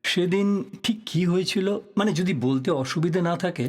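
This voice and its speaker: An actor playing a polite, inquisitive newspaper reporter in an audio drama, with a husky voice. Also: male voice, high-pitched, moderate pace, a little mellow. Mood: earnest, courteous. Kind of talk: Bengali audio drama acting.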